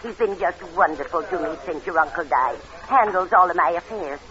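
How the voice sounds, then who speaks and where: An actress playing a fond, fluttery, sentimental elderly invalid aunt in a 1940s radio drama. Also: female voice, on the low side, lively intonation, brisk, a crowd in the background, lightly acted. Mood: fond, delighted. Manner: fond, fluttery, gently fussing. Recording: dull old radio recording, faint noise.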